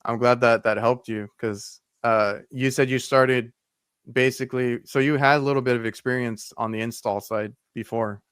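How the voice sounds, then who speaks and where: A man's voice: mid-range voice, fairly even intonation, moderate pace, a bit bright, smooth, no echo, clean studio sound. A man in his thirties, a podcast host with a trade background, asking questions with many fillers and restarts. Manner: friendly, full of fillers and restarts. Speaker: podcast host, HVAC technician. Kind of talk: casual interview talk.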